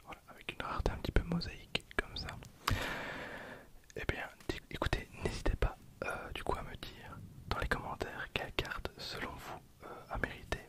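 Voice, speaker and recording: A man in his twenties whispering for an ASMR video, soft and hesitant with frequent fillers, speaking close to a recorder. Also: normal speed. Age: 20s. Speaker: ASMR creator. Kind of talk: whispered ASMR card opening. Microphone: handheld recorder behind the camera.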